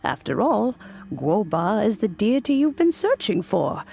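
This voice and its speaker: A woman's voice: mid-range voice, sing-song, creaky, a little mellow, slightly rough, full character voice. An actress playing a serene, wise immortal adeptus in a video game, telling old legends in a formal, reverent way with music behind her. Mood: calm, explanatory. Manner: measured, formal, solemn storytelling delivery. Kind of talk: dramatic video game cutscene narration. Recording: background music under voice.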